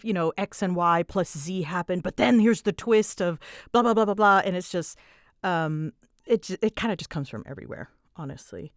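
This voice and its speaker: A young adult woman chatting casually as she answers fan questions, with an animated way of retelling things. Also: medium pitch, no echo, clean studio sound. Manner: chatty, animated retelling with quoted asides and giggles. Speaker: audio roleplay creator answering fan questions. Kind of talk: casual Q&A chat.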